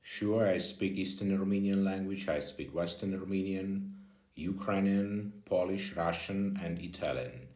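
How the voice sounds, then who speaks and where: An older man, a retired professor and security consultant, giving a personal video address in halting non-native English with pauses and restarts. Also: low voice, darkish tone, roomy sound.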